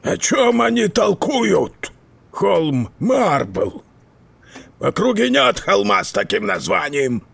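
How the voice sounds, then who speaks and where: An actor playing a stubborn, irascible old uncle in an audio drama, with a raspy voice and a grumbling, indignant manner. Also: male voice, high voice, very animated, slow pace, a bit bright, full character voice. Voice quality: gravelly, raspy.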